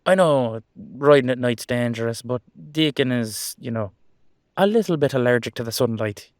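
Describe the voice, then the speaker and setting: A man's voice: medium pitch, expressive, normal speed, no room sound, clean studio sound, natural voice. Man playing a cheeky, easygoing immortal horseman. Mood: playful, casual. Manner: casual, rambling, reassuring. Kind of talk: roleplay audio drama.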